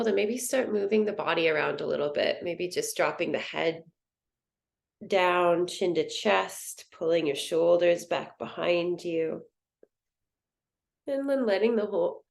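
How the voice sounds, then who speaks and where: A woman teaching a guided meditation in a soothing, gentle, unhurried manner. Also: fairly low pitch, vocal fry. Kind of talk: guided yoga nidra meditation.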